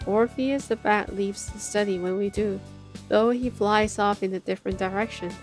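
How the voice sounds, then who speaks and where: A woman's voice: medium pitch, fairly even intonation, moderate pace. A woman streamer reading a visual novel aloud, mixing narration with casual reactions. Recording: game music faintly behind the voice.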